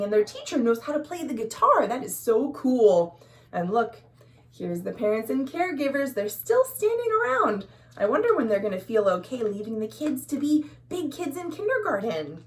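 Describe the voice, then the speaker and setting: An American woman hosting a storytime, reading a picture book to young children expressively with sing-song phrasing and explanatory asides. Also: sits high. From USA. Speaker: children's librarian and storytime host. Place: at home.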